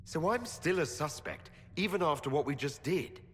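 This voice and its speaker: A man's voice: fairly high pitch, lively intonation, moderate pace, lightly acted. An actor playing a wary, questioning male elf adventurer in a fantasy game, with a skeptical manner. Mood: incredulous. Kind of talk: dramatic fantasy game acting. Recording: long hall reverb, music behind.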